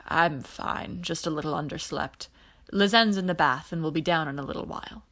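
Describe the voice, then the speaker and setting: A woman voicing a weary, dryly teasing alien man in an audiobook, with a measured, quiet delivery. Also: low voice. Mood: weary, wry. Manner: dry, measured, quiet explanations.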